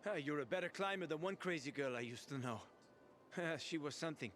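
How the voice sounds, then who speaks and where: A man's voice: on the high side, lively intonation, a little fast, fairly bright tone, slight background noise. An actor voicing a roguish, boastful man with a wry, casual manner that includes swearing, in video game dialogue. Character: roguish older male schemer, boastful. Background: music.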